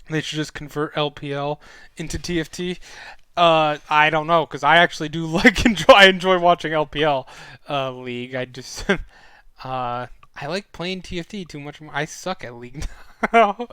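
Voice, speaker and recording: A man's voice: fairly high pitch, moderate pace, bright, forceful tone, smooth, no echo, studio quality. A young man hosting a casual live-stream review, chatty and rambling, his throat sore as he starts losing his voice. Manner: chatty and rambling, with frequent fillers and self-corrections. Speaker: esports analyst streaming a weekly review.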